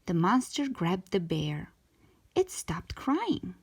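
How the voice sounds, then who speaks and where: A woman reading a children's story aloud as a storytime host, expressively and with drawn-out emphasis for young listeners. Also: fairly low pitch, big pitch swings, a little hiss or hum. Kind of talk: animated children's story narration.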